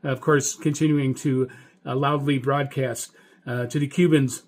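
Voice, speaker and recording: A man with an American accent and a medium-pitched voice talks as an amateur radio host. He speaks in a folksy, halting way, like a casual update to fellow hobbyists. He is enthusiastic and often repeats words for emphasis. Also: flat delivery. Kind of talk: casual video update monologue.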